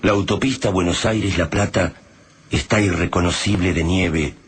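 A man narrating a radio drama in a slow, solemn, measured way. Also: low voice. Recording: noisy recording.

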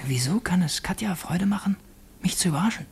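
Earnest conflicted revolutionary, crisp voice. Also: male voice, high voice, expressive, fairly quick, heavily acted. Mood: hushed, inward. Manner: introspective, dropping into hushed confiding asides. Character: earnest, conflicted male revolutionary posing as household employee. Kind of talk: German radio drama monologue and dialogue.

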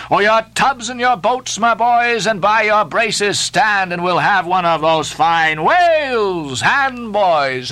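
A man voicing a rowdy male whaling sailor who leads his shipmates in a loud sea shanty. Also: fairly high pitch, pitched above the performer's own voice. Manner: sings and calls out loudly.

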